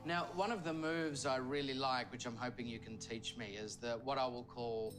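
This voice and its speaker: A man's voice: medium pitch, steady tone, normal speed, over music. A middle-aged man interviewing on television, asking playful, self-deprecating questions.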